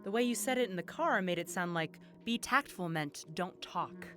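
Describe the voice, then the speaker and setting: A woman's voice: fairly low pitch, expressive, moderate pace, a bit bright, soft background music. A woman acting a skeptical, sarcastic police investigator with a wry, questioning manner in an audio drama.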